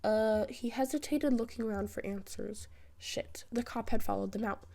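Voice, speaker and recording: A woman reading fan fiction as a narrator, in an expressive, wry storytelling style. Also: a little high, normal speed, gravelly. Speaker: podfic narrator.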